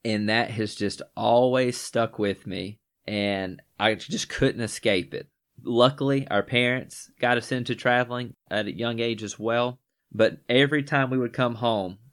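A young man in his late twenties from Northwest Georgia tells stories on a casual podcast. His voice is low, and he speaks earnestly with some fillers. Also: a bit bright. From Northwest Georgia, USA. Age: 20s. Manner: earnest, storytelling. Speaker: podcast co-host.

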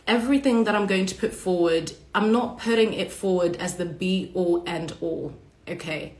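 A woman hosting a casual livestream monologue, hoarse and raspy from a cold, talking passionately and bluntly with casual swearing. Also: on the low side, echoey room. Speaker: podcast host.

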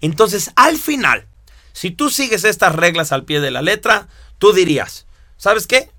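A man teaching a course, explaining step by step with lively rhetorical questions. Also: high voice, measured pace, dry recording, clean studio sound. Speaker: instructor. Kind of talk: lecture to students.

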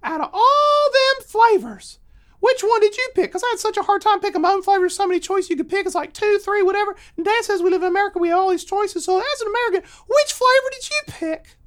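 A man's voice: very high-pitched, animated, fast talker, fairly bright tone, pitched above the performer's own voice. A man voicing an eager, chatty ten-year-old American boy in a comic story, wide-eyed and emphatic. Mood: chatty, earnest.

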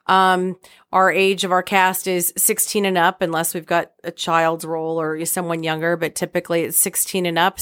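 Smooth, lower-pitched American woman. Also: fairly bright tone. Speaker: guest, community theater director. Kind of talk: casual interview chat.

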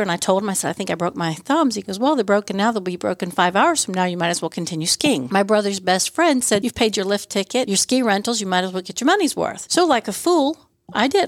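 A woman's voice: mid-range voice, dry recording. A middle-aged woman talking as a podcast guest, earnestly telling personal anecdotes and quoting the people in them. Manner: earnest, anecdotal, quotes dialogue in stories. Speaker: podcast guest, school board member and scout leader. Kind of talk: conversational personal storytelling.

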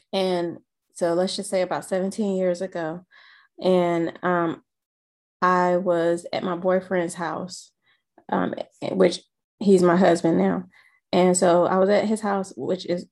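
Slow, gravelly-voiced woman telling a story. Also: medium pitch, darkish tone. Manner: slow, halting storytelling with many fillers. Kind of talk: personal testimony.

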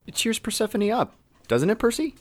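A woman's voice: on the high side, very animated, fairly quick, a little mellow. A woman playing a kind, encouraging visitor to a sick patient in an audio drama, with a coaxing manner.

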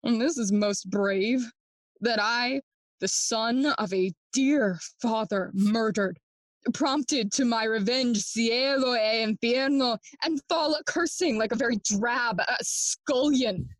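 A grieving young prince in a classical audio drama, bitter and theatrical. The voice is high and slow, recorded close and dry, moving deliberately through bilingual verse. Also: female voice, no echo. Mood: anguished self-reproach. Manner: theatrical, deliberate, switching between English and Spanish.

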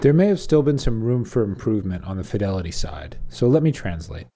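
A man's voice: on the low side, sing-song, brisk, dark tone. A man narrating a scripted story feature as host, with wry, dry asides.

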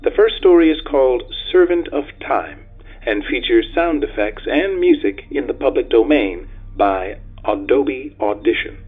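A man's voice: medium pitch, animated, unhurried, slightly rough, phone-quality sound, soft background music. A man hosting a fiction podcast, reading a scripted introduction in a thin, radio-like recording. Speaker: podcast host introducing a story.

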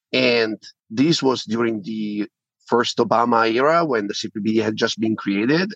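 A man's voice: on the low side, a bit bright, husky, no echo, lo-fi sound. A middle-aged man with an Italian accent, a podcast guest talking animatedly and often repeating words as he restarts. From Italy. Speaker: podcast guest, company founder. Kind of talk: interview conversation.

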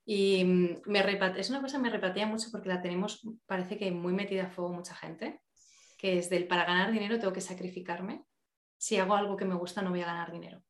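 A woman's voice: lowish voice, steady tone, quick pace, reverberant. A woman from Spain, a podcast guest speaking in an earnest, encouraging way. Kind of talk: casual podcast interview.

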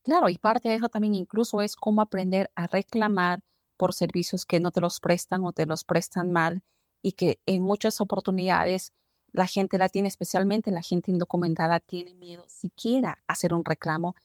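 Fairly low-voiced woman host. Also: steady tone, normal speed, no echo, clean studio sound. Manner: long, winding questions, emphatic. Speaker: podcast host. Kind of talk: interview questions.

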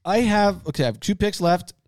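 A man's voice: high voice, animated, fairly quick, a little mellow, no echo, studio quality. A man co-hosting a casual sports banter podcast, talking in a rambling, emphatic, slangy way. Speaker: podcast co-host.

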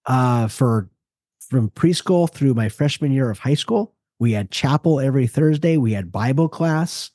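Male podcast co-host, mid-pitched and mellow, earnest conversational faith talk, close dry sound. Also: expressive, unhurried, no echo. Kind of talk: casual religious discussion.

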